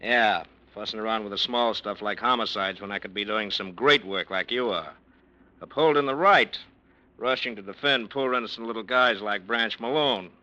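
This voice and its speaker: An actor playing a sarcastic, needling police lieutenant in a 1940s radio drama. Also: male voice, fairly low pitch, moderate pace, bright, forceful tone. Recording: dull old radio recording.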